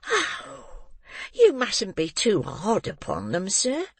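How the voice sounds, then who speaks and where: A man's voice: high-pitched, wildly swooping pitch, slow pace, vocal fry, fairly bright tone, slightly rough, no echo, pitched above the performer's own voice, heavily acted. A man voicing a fawning, gushing woman who keeps a foster farm, exclamatory and coaxing in a storybook reading. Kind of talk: audiobook character reading.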